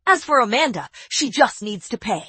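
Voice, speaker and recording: A middle-aged mother whose voice swings widely as she scolds in outrage. The sound is slightly husky, close and dry. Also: a little high, sing-song, normal speed, bright, forceful tone, no room sound, full character voice. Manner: emotional, indignant scolding.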